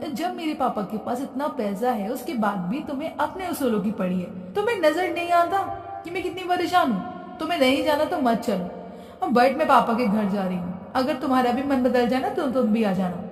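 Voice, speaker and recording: A woman's voice: sits high, expressive, normal speed. Woman voicing a spoiled whiny young bride. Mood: petulant. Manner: petulant, exasperated. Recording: roomy echo, distant from mic.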